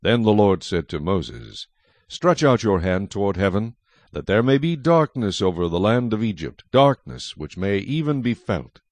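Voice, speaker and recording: Lowish, expressive male scripture narrator. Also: no room sound. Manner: measured, solemn storytelling. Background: music.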